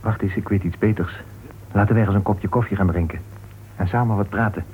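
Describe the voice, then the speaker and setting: An actor playing a cool, self-assured male crime novelist in a radio detective drama, explaining things in a measured way. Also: fairly low pitch, steady tone, a little hiss or hum, lightly acted. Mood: suave, inviting. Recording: thin, tinny old radio recording.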